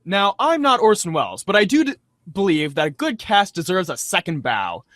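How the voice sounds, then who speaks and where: A man hosting a read-aloud show, addressing listeners in a theatrical, mock-grand and deliberate way. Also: high-pitched, sing-song, a bit bright, dry recording, studio quality.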